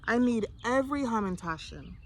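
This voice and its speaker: A woman's voice: fairly high pitch, animated, moderate pace. A woman voicing a weary, firm, scolding but loving village mother in a storybook. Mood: doubtful. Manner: chiding, firm.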